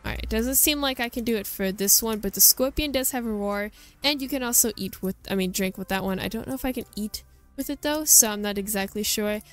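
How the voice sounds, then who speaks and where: A woman's voice: mid-range voice, fairly quick. Woman gaming host chatting over background music. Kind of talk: casual game walkthrough commentary.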